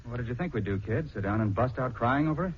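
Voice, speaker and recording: An actor playing a blunt, emphatic middle-aged husband in a 1940s radio drama. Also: male voice, medium pitch, animated, fast talker, a little hiss or hum, lightly acted. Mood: dismissive. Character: plain-spoken middle-aged husband, forceful, protective of his wife. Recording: dull 1940s radio recording.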